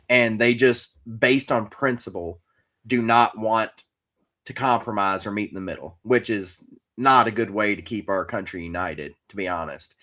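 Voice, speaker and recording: A man co-hosting a talk podcast, sharing casual opinions in a measured, explanatory way. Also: on the low side, a bit bright. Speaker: podcast co-host.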